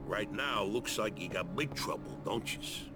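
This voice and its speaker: Callous American gangster boss, played by a man, low voice, bullying street slang, loud music behind. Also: a little slow, natural voice. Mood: menacing. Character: arrogant, callous male dockside mob boss. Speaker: voice actor in a crime game cutscene. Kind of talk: gangster drama acting.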